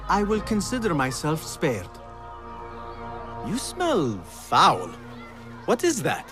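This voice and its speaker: An actor playing an ambitious, idealistic young street thief in a video game, speaking in formal, earnest phrases. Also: male voice, fairly high pitch, wildly swooping pitch, slow, heavily acted. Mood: curious, wry. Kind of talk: dramatic video game voice acting. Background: music.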